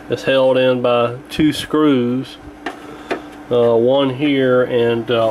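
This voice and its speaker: A man's voice: medium pitch, a little hiss or hum, low-quality recording. A man giving a casual, hands-on repair walkthrough in a folksy, colloquial way, thinking aloud as he goes. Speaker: radio repair hobbyist presenting.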